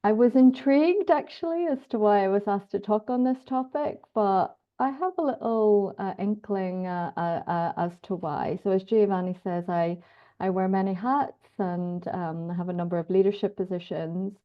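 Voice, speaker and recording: A middle-aged woman from Northern Ireland with a Northern Irish accent and a medium-pitched voice. She presents informally and personally as an academic guest. The online audio is a little dull. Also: moderate pace.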